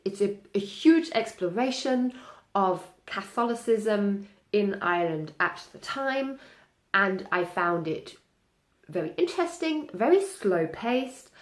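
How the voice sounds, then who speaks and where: Young German-native woman, casual book vlogger, mid pitch, echoey room. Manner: chatty, many ums and restarts, light laughs. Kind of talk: casual monthly reading recap.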